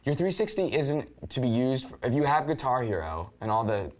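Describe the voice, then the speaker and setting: A man's voice: mid-range voice, sing-song, normal speed, a little hiss or hum. A man talking casually and enthusiastically as a video game reviewer on a panel show. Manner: enthusiastic, exclamatory.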